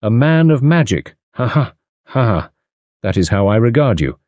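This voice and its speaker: A man voicing a fussy, excitable little elderly English gentleman with a prim, eager manner. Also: medium pitch, brisk, no echo, pitched above the performer's own voice, lightly acted. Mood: excited.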